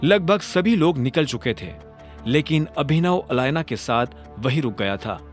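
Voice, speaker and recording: A man narrating an audio drama story in an expressive, scripted storytelling manner. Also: on the high side.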